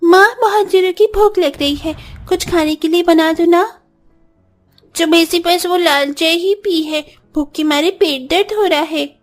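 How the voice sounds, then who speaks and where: Little hungry kid in a cartoon, super high voice, whiny and pleading. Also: female voice, measured pace, faint music behind. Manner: childlike, plaintive. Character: hungry, whining, pleading small child. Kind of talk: cartoon story voice acting.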